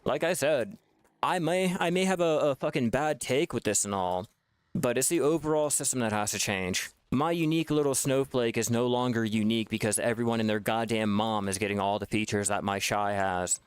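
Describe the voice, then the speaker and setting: A man's voice: medium pitch, moderate pace, clean tone, dry recording. A man making gaming videos, ranting in a frustrated way with lots of swearing and restarts. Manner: frustrated, profane, repetitive restarts. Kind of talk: ranting gameplay commentary.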